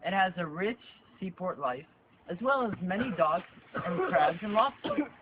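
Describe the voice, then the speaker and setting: A man's voice: high-pitched, expressive, normal speed. A man playing an eager tour presenter, delivering scripted narration in an amateur skit. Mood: informative. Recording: tinny, noisy location sound.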